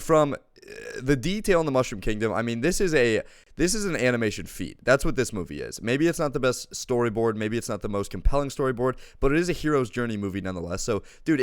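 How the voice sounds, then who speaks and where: A man's voice: medium pitch, no echo, studio quality. A man chatting casually as a film reviewer, enthusiastic and peppering his talk with "dude". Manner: enthusiastic, says dude often. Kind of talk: casual chat movie review.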